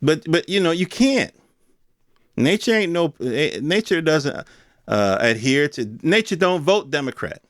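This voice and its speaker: A man's voice: on the high side, sing-song, measured pace, fairly bright tone, no room sound, studio quality. An American man hosting a podcast, giving unscripted news commentary in an emphatic, colloquial, repetitive way with rhetorical questions. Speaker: podcast host.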